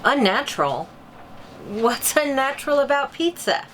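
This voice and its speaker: A woman's voice: high-pitched, sing-song, unhurried, fairly bright tone, a little hiss or hum, exaggerated voice. A woman playing a concerned, curious mother who interviews an expert in a scripted drama, wide-eyed and sympathetic. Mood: concerned, questioning. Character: concerned, curious mother seeking diet advice. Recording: clear music bed behind the voice.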